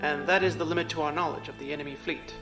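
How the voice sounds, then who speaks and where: Sci-fi drama aide, male actor: analytical, formal man, slightly high and gravelly, exact diction. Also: fairly even intonation, loud music behind the voice, lightly acted. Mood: calm, analytical. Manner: measured, formal, exact wording. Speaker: adviser to the clan leader. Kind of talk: science fiction audio drama.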